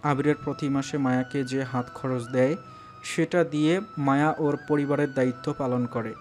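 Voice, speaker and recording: A man's voice: medium pitch, fairly even intonation, moderate pace, darkish tone. A man narrating a romantic audio story in a dramatic, scripted style. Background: background music.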